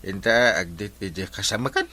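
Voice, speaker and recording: A man's voice: on the low side, normal speed, pushed and bright. A man playing a lazy village captain in an Ilocano comedy, whining and stammering excuses with an Ilocano accent. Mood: whining, evasive. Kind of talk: broad comedy drama acting.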